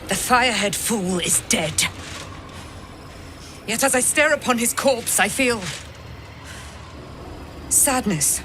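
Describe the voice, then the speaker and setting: A woman's voice: fairly high pitch, lively intonation, slow pace, long pauses, over music. A woman playing a proud, indomitable immortal paladin in a fantasy game, with formal, declamatory delivery. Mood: weary sadness. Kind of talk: dramatic video game acting. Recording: clear ambient noise.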